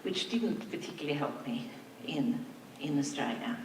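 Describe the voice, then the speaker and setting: An older woman from Sweden, a native Swedish speaker, giving a slow, reflective anniversary speech to school staff as their principal. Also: sits low, animated, slight background noise. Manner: slow, reflective, warm thanks with soft laughs. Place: large hall, on stage. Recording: echoey hall from far off.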